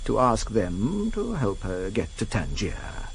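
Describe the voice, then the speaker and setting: Fussy, coolly menacing intelligence chief, lively intonation. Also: male voice, fairly low pitch, takes it slowly, vocal fry, a little hiss or hum, heavily acted. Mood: probing. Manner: fussy, precise, insinuating. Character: pedantic, coolly menacing male senior intelligence official. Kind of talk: radio drama acting.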